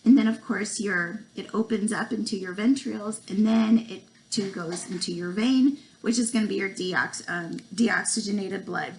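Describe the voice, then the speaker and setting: A woman in her forties has a cold and is losing her voice as she walks through a homemade lesson. She talks in a halting, casual way, hesitating often and tripping over long words. She speaks at an average pitch into a laptop webcam in a small home room, with a faint hiss in the background. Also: low-quality recording. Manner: halting, many ums, stumbles over terms. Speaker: student presenter.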